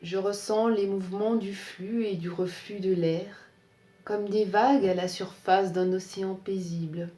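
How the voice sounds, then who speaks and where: Female meditation guide, soft-edged mellow tone, calm measured phrasing, recorded with noticeable room echo. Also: medium pitch. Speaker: yoga nidra instructor. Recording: roomy, echoing sound.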